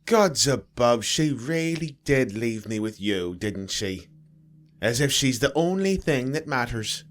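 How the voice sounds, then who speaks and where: A man's voice: mid-range voice, lively intonation, unhurried, faint music behind, voice pushed up, close to an everyday voice. A man voicing a cruel, bitter woman nurse who snaps and sneers with contempt. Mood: sneering. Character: cruel, bitter middle-aged female nurse.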